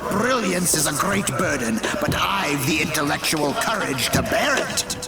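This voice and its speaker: An actor playing a pompous, defiant self-styled intellectual in an audio drama, declaiming grandly. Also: male voice, a little high, expressive, measured pace, reverberant, loud music behind the voice. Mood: pompous defiance. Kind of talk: audio fiction acting.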